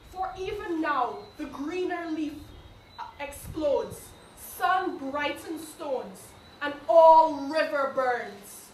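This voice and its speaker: A woman reads a poem solemnly in a high voice, at a slow pace. She sounds distant in an echoey room. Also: animated, a little mellow, slight background noise. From Guyana. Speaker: poetry reader. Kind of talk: poetry recital. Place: indoor live recital event.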